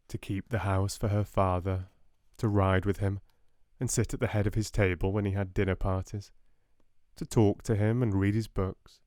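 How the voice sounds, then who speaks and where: A soft-spoken man with a British accent, narrating an audiobook in a measured, restful bedtime style. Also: sits low, dry recording, studio quality.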